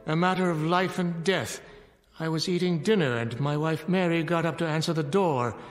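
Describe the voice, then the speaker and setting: An actor playing a mild, wordy reverend who rambles hesitantly, in a radio drama. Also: male voice, on the high side, normal speed, big-room sound, close to an everyday voice. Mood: flustered.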